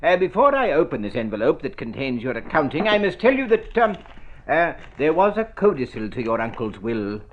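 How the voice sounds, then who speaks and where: An actor playing a fussy, formal lawyer in an old radio drama, speaking precisely with proper, hesitant phrasing. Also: male voice, a little high, very animated, fairly quick, slight background noise. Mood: formal, measured. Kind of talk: golden-age radio drama acting. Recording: muffled vintage radio recording.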